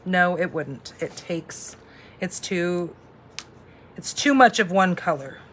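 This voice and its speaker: A woman in her forties hosting a casual live craft demo, chatty and thinking out loud as she works. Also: fairly low pitch, lively intonation, slow, slight background noise. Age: 40s. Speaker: crafting host and instructor.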